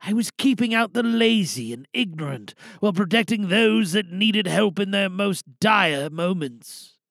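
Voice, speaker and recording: A man playing a weary, resolute older insurance whistleblower, giving a dramatic speech to a crowd with deliberate pauses. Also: a little high, a bit bright, no echo, clean studio sound, full character voice.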